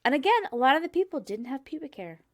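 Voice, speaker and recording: A woman's voice: high voice, sing-song, fast. A woman in her thirties hosting a casual chat, laughing often and explaining her research step by step. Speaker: podcast host presenting research.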